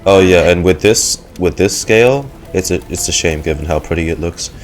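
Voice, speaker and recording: A man's voice: low voice, normal speed. A man giving live game reaction commentary, stammering and repeating words anxiously. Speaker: streamer reacting to a game story. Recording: game music behind voice. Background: loud game music.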